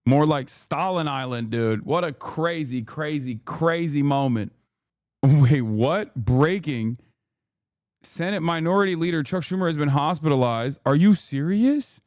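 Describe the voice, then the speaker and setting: A Texan man in his thirties riffing on a live stream, excitable and foul-mouthed as he rambles from one thought to the next. His voice is smooth and resonant, recorded close and dry with no room around it. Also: a little high, a little slow, dry recording. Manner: hyped, profane, rambling banter. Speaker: streamer host.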